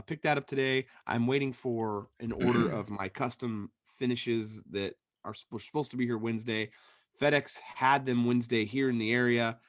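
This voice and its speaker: A man co-hosting a podcast in casual chat, hoarse and losing his voice, calling in remotely and rambling with restarts. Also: mid-range voice, no echo, studio quality. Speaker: podcast co-host. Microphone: remote call connection.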